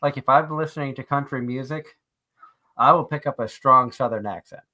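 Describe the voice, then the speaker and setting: A man with a Boston accent, co-hosting a casual live-stream chat and telling lively stories about accents. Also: medium pitch, moderate pace. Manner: animated storyteller, mixes in accents.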